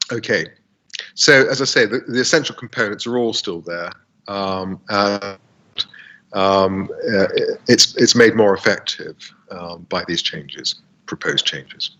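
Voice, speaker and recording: Low-voiced, croaky man at a hearing. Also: sharp tone, muffled recording. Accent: British English. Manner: halting, many fillers and restarts. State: dry, croaky throat. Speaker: council planning witness. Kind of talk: formal hearing statement. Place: air-conditioned room. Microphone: video call.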